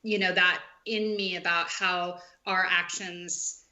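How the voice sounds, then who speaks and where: A woman with a bright, forward voice talks in a loose, rambling way, full of "um" and "you know". She is a podcast guest chatting casually about her business and where she grew up in Hawaii. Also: on the low side, fairly even intonation, slow pace. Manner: chatty, rambling with many fillers. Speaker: podcast guest, spring water business owner. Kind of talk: casual interview conversation.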